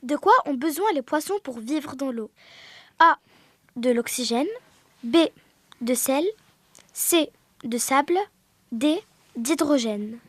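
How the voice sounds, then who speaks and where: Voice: high-pitched, takes it slowly, long pauses, slight background noise. A child reading out scripted quiz questions and answers.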